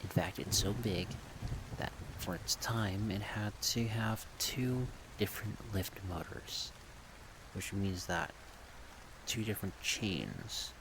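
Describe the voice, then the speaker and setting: A man with a low voice talks in a soft-spoken ASMR ramble. He goes slowly and haltingly, thinking aloud in short phrases. Steady rain-like noise runs clearly behind him. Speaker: ASMR video creator.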